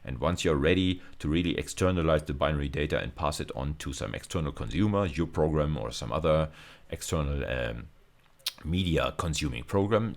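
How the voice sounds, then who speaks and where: Very deep-voiced man lecturing, creaky. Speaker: university lecturer. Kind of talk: explanatory technical video lecture.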